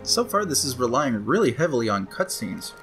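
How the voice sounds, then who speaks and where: A man's voice: medium pitch, big pitch swings, brisk, music in the background. A man giving casual, offhand and wry commentary while playing a video game. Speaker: let's play host.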